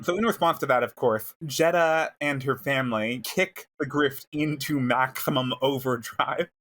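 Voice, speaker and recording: A man's voice: on the high side, fairly bright tone. Man in casual podcast recap. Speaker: podcast co-host.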